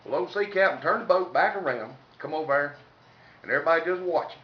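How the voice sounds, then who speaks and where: A man's voice: fairly high pitch, animated, fast talker. A man with a Southern US accent telling humorous stories in a folksy, salty, colloquial way. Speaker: comedic storyteller. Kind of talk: informal humorous storytelling. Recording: roomy, distant mic, faint noise, dull sound.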